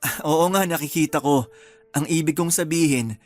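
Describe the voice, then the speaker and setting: A man playing a gentle, apologetic young husband in a Filipino radio drama, hesitant and placating, with a Filipino accent. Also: on the high side, normal speed, lightly acted. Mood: helpful. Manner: hesitant, placating, soft-hearted.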